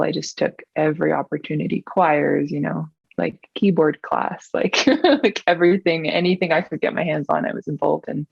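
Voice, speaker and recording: Kiwi singer lady chatting in an interview, fry in her voice, muffled lo-fi sound, says like a lot. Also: deep voice, a little mellow, no room sound. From New Zealand. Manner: chatty, frequent "like" and "um" fillers. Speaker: singer-songwriter guest. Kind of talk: casual interview chat.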